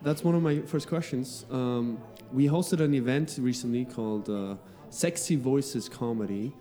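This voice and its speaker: Male podcast host, warm mellow voice, friendly and jokey, soft music bed. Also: medium pitch, unhurried. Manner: friendly questioner, jokey prompts. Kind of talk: casual interview.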